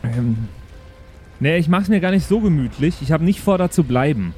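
A man's voice: a little high, animated, normal speed, mellow, soft background music. A man chatting casually as a player in an improvised tabletop game.

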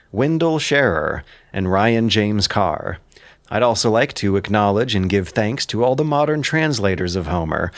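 Male commentator, lower register, articulate and bookish scripted narration with wry asides, dry close-miked sound. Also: dry recording. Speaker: writer and narrator of an audio drama.

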